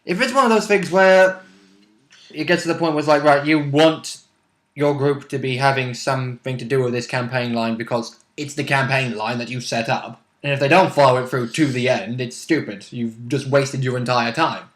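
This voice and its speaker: A man's voice: medium pitch, normal speed, fairly bright tone. A man chatting casually as a player in a tabletop role-playing game. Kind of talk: casual chat. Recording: echoey, roomy sound.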